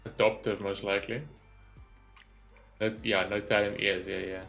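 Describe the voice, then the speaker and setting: A man's voice: lowish voice, little pitch movement, moderate pace, creaky, fairly bright tone. A man doing casual live reaction commentary as a gaming streamer, thinking aloud in short remarks. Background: game music.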